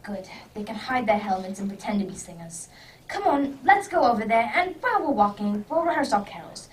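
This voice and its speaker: Commanding male detective played by a woman, theatrical, high pitch, swooping intonation, radio play, distant noisy mic. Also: big pitch swings, brisk. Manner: emphatic, theatrical. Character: brisk, commanding male detective, clever and impatient. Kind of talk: amateur radio drama acting. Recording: distant microphone, background noise.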